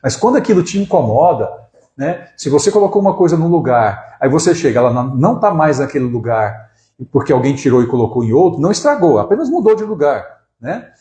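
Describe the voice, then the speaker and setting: A man's voice: on the high side, sing-song, fast, warm tone, roomy sound. A man in his forties from Brazil, an acupuncturist giving a casual live talk, often restarting words and tagging sentences with "né?". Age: 40s. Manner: conversational, frequent "né?" tags and restarts. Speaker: acupuncturist hosting a live stream.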